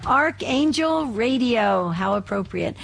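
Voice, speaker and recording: Woman, radio host, slightly raspy, quick upbeat talk, fairly high pitch. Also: lively intonation, a little hiss or hum.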